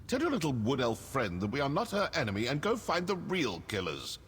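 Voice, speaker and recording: An actor playing a sly, sardonic assassin in a fantasy game, theatrical, dry and condescending. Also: male voice, mid-range voice, wildly swooping pitch, a little fast, a bit bright. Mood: sardonic, defiant. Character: sly, sardonic male assassin of a secret guild. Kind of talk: scripted fantasy game acting. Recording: long reverb, faint noise.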